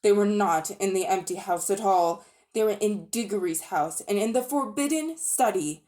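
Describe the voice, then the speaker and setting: A woman giving an expressive, animated dramatic reading of a children's storybook. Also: mid-range voice, normal speed. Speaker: reader.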